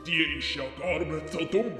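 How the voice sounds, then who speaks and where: A woman voicing a sly, scheming man who wheedles slowly in an audio play. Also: low-pitched, fairly bright tone, faint music behind, voice pushed down. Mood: sly, coaxing. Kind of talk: German-language audio play acting.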